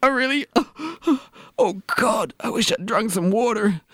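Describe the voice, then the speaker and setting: A man playing a miserable hungover man in a comedy audio drama, groaning and whimpering in halting phrases. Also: very high voice, lively intonation, fairly bright tone, dry recording, studio quality, voice pushed up, exaggerated voice. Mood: miserable, pleading.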